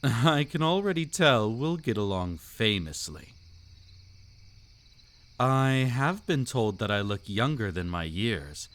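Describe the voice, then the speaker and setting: A man's voice: mid-range voice, big pitch swings, takes it slowly, a bit bright. A man voicing a suave, predatory old vampire count in a scripted roleplay audio, with an aristocratic, silky, teasing and theatrical delivery. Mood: charming, coy.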